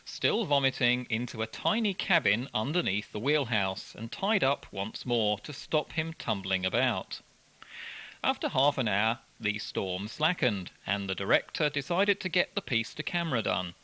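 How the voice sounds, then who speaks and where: A man's voice: medium pitch, bright, forceful tone, no echo, poor audio. A man reading articles aloud as scripted narration, as a radio presenter.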